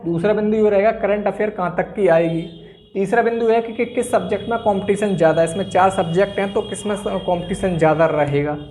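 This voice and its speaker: A man's voice: sits high, darkish tone, a little hiss or hum. A man giving an informal, instructional exam-coaching talk, emphatically repeating key phrases for stress.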